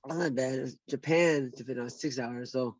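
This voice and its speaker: A man's voice: medium pitch, moderate pace, dry recording, dull audio. An American man talking casually as a podcast guest, upbeat and stumbling over restarts. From United States. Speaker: guest, former fighter. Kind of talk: casual interview chat.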